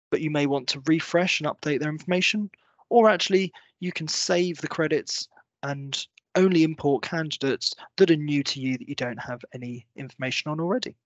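A man in his thirties presenting a software walkthrough, explaining step by step in a semi-scripted tutorial style. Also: a little high, dry recording, highs cut off. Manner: clear, step-by-step explanatory delivery. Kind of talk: semi-scripted screen-share tutorial narration.

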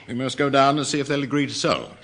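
An actor playing a pompous, easily flustered civil servant with an English accent in a radio comedy. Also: male voice, medium pitch, quick pace, fairly bright tone, lightly acted. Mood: officious. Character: pompous, fawning middle-aged male civil servant.

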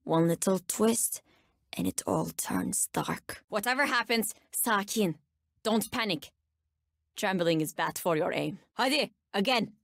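An actress with a Turkish accent voicing a menacing, sardonic nightmare-wielding bounty hunter in a video game, speaking with cool, ominous deliberation. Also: female voice, medium pitch, sing-song, unhurried, creaky, fairly bright tone, dry recording, clean studio sound, exaggerated voice. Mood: determined, menacing. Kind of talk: video game character voice lines.

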